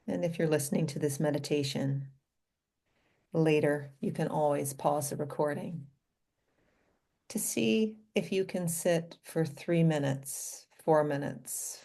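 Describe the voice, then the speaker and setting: Female meditation guide: very slow delivery, creaky low end, gentle and inviting. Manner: gentle, unhurried, inviting, with pauses between short phrases. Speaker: doctor leading a guided meditation.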